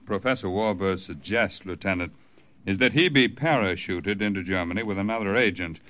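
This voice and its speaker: An authoritative colonel in a 1950s radio drama. His voice is low and sharp-edged, his delivery measured and official. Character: authoritative army colonel recruiting secret agents.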